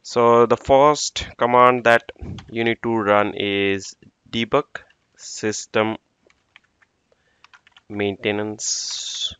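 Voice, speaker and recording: A man with a low, smooth voice talks through a firewall-style tech walkthrough step by step, with small fillers between steps. The sound is dry and close, with a dull, slightly lo-fi tone. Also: flat delivery, drawn-out words, pauses often, fairly bright tone, dry recording. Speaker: network engineer instructor. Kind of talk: screen-recorded tech tutorial. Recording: dull dry lo-fi screen recording.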